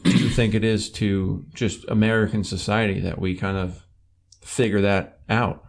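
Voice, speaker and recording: A man's voice: lowish voice, steady tone, normal speed, creaky. A man in his thirties hosting a podcast, easygoing, asking long, rambling questions. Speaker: podcast host. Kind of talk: casual chat.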